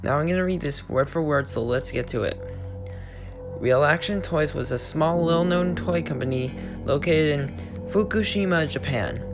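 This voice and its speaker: A man's voice: a little high, normal speed, music in the background. A man hosting his channel who reads a creepypasta aloud word for word, often stumbling and restarting his sentences. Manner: plain reading with frequent stumbles and restarts. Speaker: channel host and story reader.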